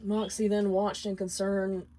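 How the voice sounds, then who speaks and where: A woman's voice: medium pitch, level tone, unhurried, dark tone, slight background noise. A woman reading scripted fiction aloud as a storyteller. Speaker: fan fiction reader.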